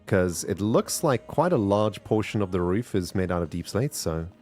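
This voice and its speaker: A man's voice: sits low, lively intonation, normal speed, a little mellow, soft background music. A man with a South African accent chatting casually to his viewers while streaming a game, rambling and addressing chat directly. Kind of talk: casual gameplay commentary.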